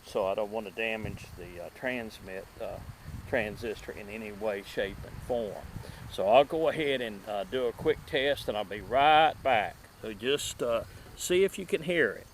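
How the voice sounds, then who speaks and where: A middle-aged man hosting a casual vlog about vintage gadgets, speaking outdoors in a halting, folksy way into a close microphone. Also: fairly low pitch, a little hiss or hum, poor audio. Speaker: video host showing vintage gadgets. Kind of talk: casual product showcase vlog. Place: outdoors at a table in a wooded yard.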